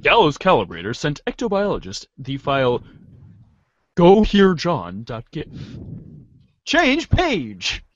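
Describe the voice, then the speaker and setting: Very slow, curt woman reading cues. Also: sits low, huge pitch swings, dry recording. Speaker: stage-direction reader, regular of the show. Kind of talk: scripted cue reading in amateur reader's theater. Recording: dry, muffled lo-fi recording.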